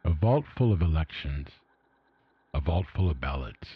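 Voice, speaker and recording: Weary, exasperated vault survivor, deep and husky man acting. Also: very animated, normal speed, dry recording, exaggerated voice. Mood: weary despair. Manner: despairing, emphatic complaining. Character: weary, exasperated male vault resident reading his journal. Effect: retro AM radio filter. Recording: dull retro AM radio sound.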